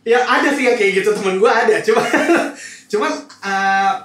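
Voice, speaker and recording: A man's voice: sits high, moderate pace, fairly bright tone. A young Indonesian man with a Jakarta accent, explaining his views casually and hesitantly, mixing in English phrases. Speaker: podcast panelist. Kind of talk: casual opinionated discussion. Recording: roomy echo.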